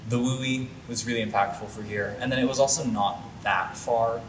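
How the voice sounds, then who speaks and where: A man's voice: steady tone, moderate pace. A man from Hawaii, a student, answering interview questions in an easygoing, slightly hesitant way. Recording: distant mic in echoey room with rumble.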